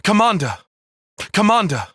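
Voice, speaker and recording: An earnest young tactician in a video game cutscene. His voice is a little husky and recorded close and dry. He speaks in formal, bookish sentences, with pitch that moves a good deal. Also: very animated, measured pace, a bit bright, no room sound, full character voice. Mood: urgent, exasperated. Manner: precise, formal, bookish phrasing. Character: analytical, earnest young male soldier and tactician. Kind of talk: dramatic game cutscene acting.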